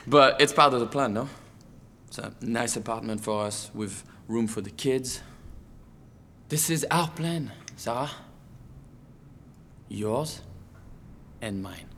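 Bright, smug fiancé. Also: male voice, medium pitch, slow pace, gravelly, long pauses, a little hiss or hum. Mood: smug, proud. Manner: smug, fussy, coaxing. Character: controlling, fussy French fiancé, courteous but smug. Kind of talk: naturalistic audio drama acting.

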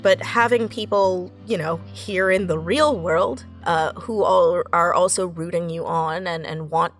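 Lower-pitched woman in a thoughtful interview. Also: steady tone, unhurried, soft background music. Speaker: writer interviewed as a guest. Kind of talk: casual reflective interview talk.

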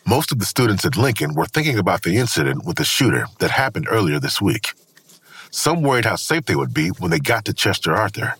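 A man narrating a scripted crime thriller audio drama in a grave, husky voice. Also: deep voice, very animated, fairly quick, a bit bright, no echo, full character voice. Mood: measured, grave.

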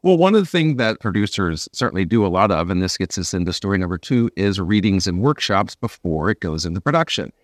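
A man hosts a conversational interview in a fairly low voice. He is agreeable and sympathetic, talking like a peer. The sound is dry and close, without room reflections. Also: very animated, fast talker, few pauses, no echo. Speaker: interview host and actor.

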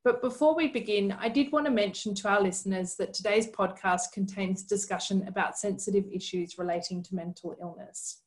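A woman hosting a podcast, reading a formal introduction and asking interview questions in a clear, measured way. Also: mid-range voice. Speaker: podcast host.

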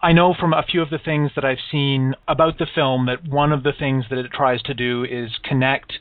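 A man's voice: mid-range voice, steady tone, moderate pace, no room sound, phone-quality sound. A man hosting a radio interview and asking questions in a plain, conversational way.

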